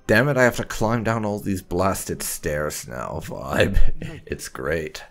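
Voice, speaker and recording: Low, creaky man doing game commentary. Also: very animated, slow pace, fairly bright tone, soft background music. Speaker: gaming channel host. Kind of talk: casual let's-play commentary.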